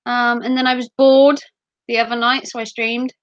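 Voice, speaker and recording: A woman from the UK with a cold, wheezy and clearing her throat, chatting casually and rambling on a livestream with self-mocking jokes. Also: on the high side, little pitch movement, moderate pace, no room sound. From United Kingdom. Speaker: livestream host. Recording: dull lo-fi sound.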